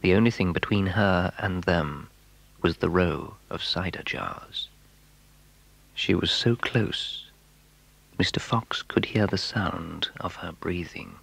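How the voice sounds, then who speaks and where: A man narrating a children's story with hushed, dramatic suspense. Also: low voice, slow pace, gravelly, slightly rough, heavily acted, rough recording. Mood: suspenseful.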